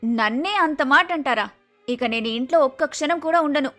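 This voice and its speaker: Woman acting a high-voiced, arrogant young daughter-in-law. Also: a little fast, a bit bright, faint music behind. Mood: indignant. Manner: curt, dismissive, argumentative. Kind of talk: animated moral story dialogue.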